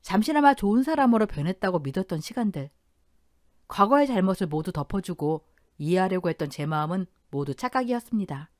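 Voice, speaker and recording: Intense, lively woman storyteller. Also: mid-range voice, brisk, dry recording, studio quality. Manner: intense, emotional first-person telling. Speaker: narrator of a first-person story. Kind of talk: dramatic audio-drama storytelling narration.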